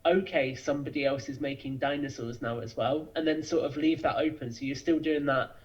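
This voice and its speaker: A man's voice: fairly high pitch, a little fast, highs cut off. A man chatting as a podcast guest over a remote connection, explaining his thoughts fluently. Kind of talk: casual interview chat.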